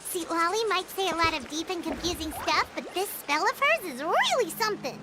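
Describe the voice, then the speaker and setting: A woman voicing a small, childlike, excitable fairy companion in a video game, squeaky and exclamatory. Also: moderate pace, heavy background noise. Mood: excited. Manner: exclamatory, refers to herself in third person. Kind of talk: animated video game voice acting.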